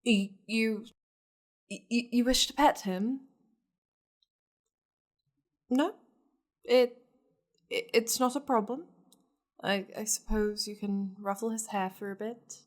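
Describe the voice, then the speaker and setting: A woman voicing a seductive, possessive demon lady in an ASMR roleplay, languid and teasing, slipping into breathy half-whispers. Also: on the high side, fairly even intonation, takes it slowly, pauses often. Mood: flustered, hesitant. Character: seductive, possessive powerful demon lady doting on her pet.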